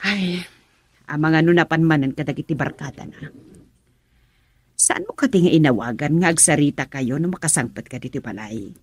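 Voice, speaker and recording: A woman acting an older, nagging mother with a husky voice in an Ilocano radio drama. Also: low-pitched, normal speed, no echo. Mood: scolding. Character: older mother, nagging her grown son.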